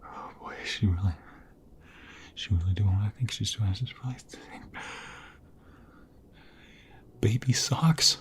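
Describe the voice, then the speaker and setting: A man playing a tender, excitable husband and father-to-be in an intimate ASMR audio roleplay, halting and emotional, often dropping toward a whisper. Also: on the low side, natural voice. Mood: puzzled, hushed. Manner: halting, emotional, repeats himself. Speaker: voice-over roleplay performer.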